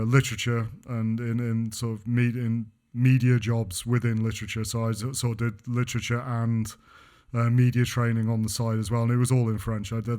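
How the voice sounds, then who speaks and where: A man's voice: medium pitch, smooth. A man from England co-hosting a podcast, chatting casually and rambling with restarts and self-mocking jokes. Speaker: podcast co-host. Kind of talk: casual chat.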